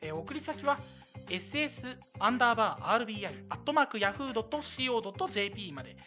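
A man co-hosting a radio show, chatting casually and teasingly with his partners. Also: racing. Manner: animated, teasing. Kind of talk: casual banter with occasional announcements. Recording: low-quality livestream audio over background music. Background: background music.

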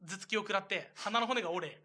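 A man's voice: fast talker, pushed and bright. A man hosting a casual live internet radio show, chatting informally with co-hosts. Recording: low-quality stream audio.

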